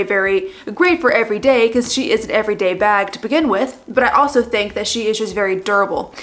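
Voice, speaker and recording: A woman's voice: medium pitch, fairly bright tone. A young woman vlogging casually to camera about her handbags, with a stuffy nose from allergies and a chatty, rambling way of talking full of fillers. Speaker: fashion vlogger.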